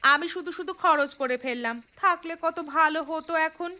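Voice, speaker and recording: A woman voicing a willful young newlywed wife in a cartoon, with an insistent, expressive manner. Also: sits high, moderate pace. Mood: regretful. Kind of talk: Bengali cartoon voice acting.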